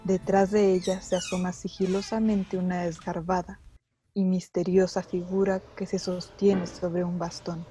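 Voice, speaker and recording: A woman's voice: fairly low pitch, level tone, unhurried, over music, natural voice. A woman with a Mexican accent as the storyteller of a suspenseful radio mystery, reading scripted narration.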